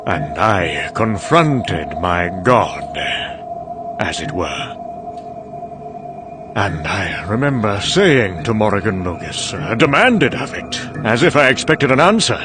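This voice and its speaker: A man's voice: on the low side, big pitch swings, takes it slowly, over music. A man playing a weary, sherry-soaked older scientist, rambling conversationally and hesitantly in a wry, confessional monologue. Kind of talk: dramatic monologue. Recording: clearly noisy background ambience.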